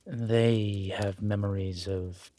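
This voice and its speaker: A man voicing a grave, protective, centuries-old immortal in a roleplay audio drama, speaking in a measured, formal and solemn manner. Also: fairly low pitch, steady tone, warm tone. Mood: solemn, guarded.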